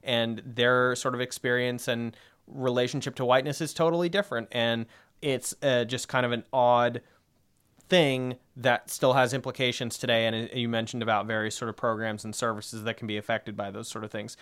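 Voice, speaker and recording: A man's voice: medium pitch, level tone, normal speed, fairly bright tone, clean tone. A young man co-hosting a discussion podcast, explaining at length in a rambling way with lots of fillers and asides. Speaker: podcast co-host.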